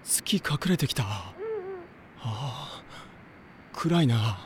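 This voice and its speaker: Teenage boy, big but easily frightened, stammering, mid-pitched, anime drama CD. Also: expressive, very slow, background noise. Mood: nervous. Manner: stammering and panicky. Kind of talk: anime audio drama acting.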